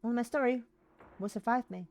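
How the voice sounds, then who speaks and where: A woman's voice: fairly high pitch, a little fast, darkish tone. A woman live streaming, chatting casually and reading visual novel text aloud, now and then tripping over words and rereading them. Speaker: live streamer playing a game.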